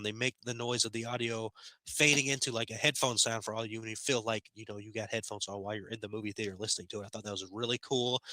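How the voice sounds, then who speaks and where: Chatty man with a bright, dry voice. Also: on the low side, no room sound. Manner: laid-back, chatty, says "man" a lot. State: slightly nasal, getting over a sickness. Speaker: movie review channel host. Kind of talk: casual spoken film review. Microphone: headphones on, desk podcast mic.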